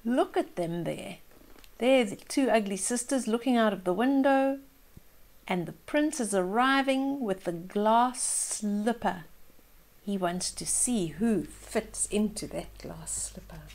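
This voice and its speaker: An older woman reading a fairytale aloud to children in an expressive way, with simple explaining asides to young listeners. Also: mid-range voice, slow. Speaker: children's storyteller, host.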